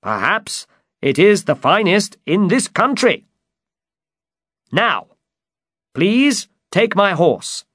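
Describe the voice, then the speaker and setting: A man's voice: high-pitched, very animated, slow, long pauses, fairly bright tone, breathy edge, no room sound, clean studio sound, pitched above the performer's own voice, exaggerated voice. A man voicing an eager, pompous old would-be knight in a storybook, speaking with earnest chivalry. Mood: grand, courteous. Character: eager, grandiose old man who believes he's a knight. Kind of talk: dramatized storybook reading.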